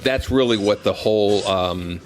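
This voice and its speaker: A man's voice: fairly low pitch, a little slow. A man giving casual interview answers as a game developer, often repeating words as he explains. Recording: clearly noisy background ambience.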